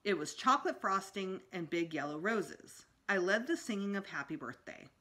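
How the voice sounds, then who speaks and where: A woman's voice: medium pitch, lively intonation, normal speed, pushed and bright. A middle-aged woman reading a children's book aloud expressively, as for a school storytime. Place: small indoor room at home.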